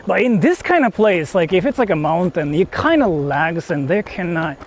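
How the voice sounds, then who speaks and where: A man's voice: high-pitched, big pitch swings, normal speed, slight background noise. A man live-streaming outdoors from a phone, rambling with lots of fillers as he explains his tech setup to chat. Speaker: IRL streamer. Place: outdoors in the countryside, on the move. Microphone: phone held while streaming.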